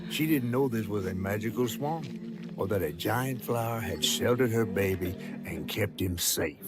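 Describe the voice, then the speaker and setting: An actor playing a folksy, grave Southern storyteller of swamp legends in a video game, with music underneath. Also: male voice, lowish voice, animated, normal speed, close to an everyday voice. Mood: grave, mournful.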